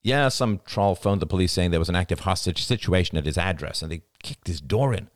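A man's voice: low voice, expressive, a little fast, no room sound, studio quality. A man playing an intense, insistent husband and father in dramatic audiobook dialogue. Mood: grim.

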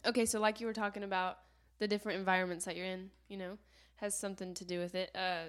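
A young woman co-hosting a casual faith podcast, explaining her point in a relaxed, steady way. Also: mid-range voice, fast talker. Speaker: podcast co-host.

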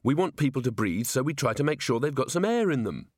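A man's voice: medium pitch, very animated, quick pace, a little mellow, no room sound, clean studio sound, voice pushed up. A man voicing an earnest, polite young watchman in an audiobook, explaining things patiently and precisely. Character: earnest, polite, literal-minded young male city watchman. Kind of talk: audiobook character voice.

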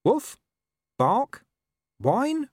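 A man's voice: very high voice, wildly swooping pitch, very slow, no echo, clean studio sound, voice pushed up, full character voice. A man voicing a scruffy, sly, cheeky talking dog in a storybook reading. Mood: mock-innocent barking.

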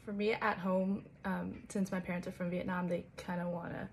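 A woman's voice: on the low side, little pitch movement, moderate pace, creaky, slight background noise. A young woman interviewing a fellow college student and asking curious, friendly follow-up questions in a casual way. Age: young adult.

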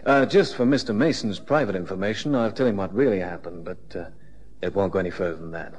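Plain-spoken detective, lowish voice, reverberant recording, easygoing, loyal, radio play. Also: male voice, quick pace, darkish tone, husky. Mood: easy, discreet. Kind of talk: radio drama acting. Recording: long reverberant echo.

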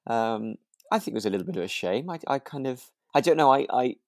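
A man's voice: mid-range voice, animated, quick pace, no room sound, studio quality. A man co-hosting a podcast in casual chat, thinking aloud and phrasing his opinions tentatively. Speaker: podcast co-host. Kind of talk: casual chat reviewing a radio drama.

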